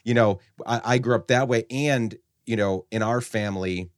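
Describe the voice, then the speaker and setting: A man from Guatemala hosts the conversation in a low voice, reflecting earnestly and often restarting his sentences. The recording is dry, with no room sound around him. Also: no echo. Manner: earnest, halting, many restarts. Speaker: podcast host. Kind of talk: reflective interview talk.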